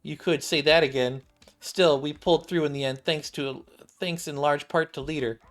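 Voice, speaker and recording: A man's voice: fairly high pitch, steady tone, moderate pace. A man reading video game dialogue aloud as a streamer, acting out parts and sometimes stumbling over lines and rereading them. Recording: music playing behind the voice.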